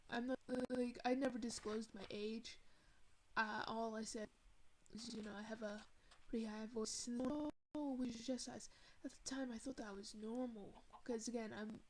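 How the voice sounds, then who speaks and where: A woman's voice: on the high side, a little hiss or hum, low-quality recording. A young woman from Thailand streaming a game, telling a story in a chatty, rambling way full of fillers and asides. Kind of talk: casual storytelling while gaming.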